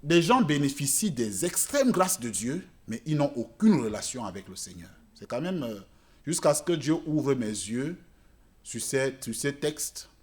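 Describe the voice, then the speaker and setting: A man preaching a church sermon in French, which is not his native language, swinging between loud emphatic peaks and quiet asides. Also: medium pitch. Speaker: pastor. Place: church service.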